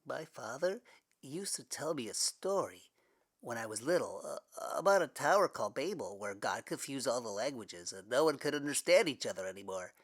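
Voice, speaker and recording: A man voicing a thoughtful young man who speaks quietly and reflectively in a storybook reading. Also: mid-range voice, big pitch swings, normal speed.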